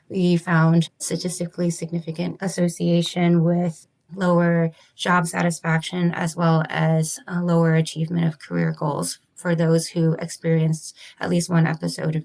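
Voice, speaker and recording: A woman's voice: fairly low pitch, a little mellow. A woman physician and researcher, interviewed as a guest, explaining her study in a careful, methodical and formal way. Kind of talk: measured academic explanation.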